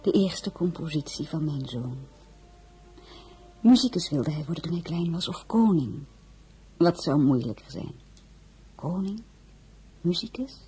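An actress playing a dignified, lonely crown princess, frank and wistful, speaking intimately in a dramatic audio play. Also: female voice, low-pitched, animated, a little slow, long pauses, mellow, husky, faint music behind, exaggerated voice. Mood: wistful, dry. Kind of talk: dramatic radio play acting.

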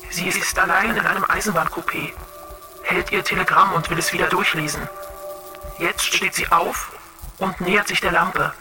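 A woman's voice: lowish voice, steady tone, moderate pace, music in the background, lightly acted. An actress playing a serene, clairvoyant female android in a radio drama, speaking with dreamy eloquence. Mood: detached, observant. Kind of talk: German radio drama acting.

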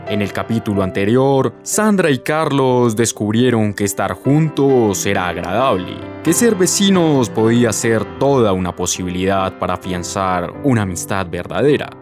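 Low-voiced actor as a wry soap narrator. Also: male voice. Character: wry, self-important radio soap narrator. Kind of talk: scripted dramatic narration. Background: guitar music.

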